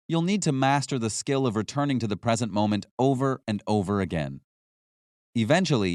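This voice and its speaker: A man reading a nonfiction audiobook as narrator, in a measured, instructional way with clear phrasing. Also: medium pitch, no echo, clean studio sound.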